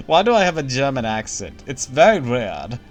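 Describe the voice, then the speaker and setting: A man's voice: medium pitch, big pitch swings, moderate pace. A man streams a game from home and talks casually to his viewers. He is blunt and jokey, and faint game music runs behind him. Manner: blunt, laughing, joking. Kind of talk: casual gameplay commentary.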